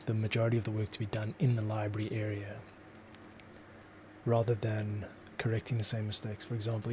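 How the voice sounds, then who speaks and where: Guy composer talking thru his work as he goes, kinda low voice, bit of noise and keys. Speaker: composer demonstrating his scoring process. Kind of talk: thinking aloud tutorial commentary.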